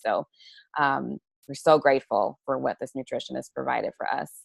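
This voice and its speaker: A woman's voice: a bass voice, moderate pace, vocal fry, breathy edge, dry recording. A woman in her forties sharing an earnest, chatty personal testimonial over a webcam video call. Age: 40s. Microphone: laptop webcam on a video call.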